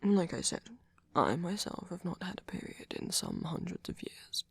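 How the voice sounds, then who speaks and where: A man playing a gentle, centuries-old vampire boyfriend in an ASMR roleplay, speaking softly in a breathy, husky half-whisper with a hesitant, comforting manner. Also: sits high, wildly swooping pitch, moderate pace, exaggerated voice. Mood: tender, consoling. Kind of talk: ASMR roleplay, soft intimate monologue.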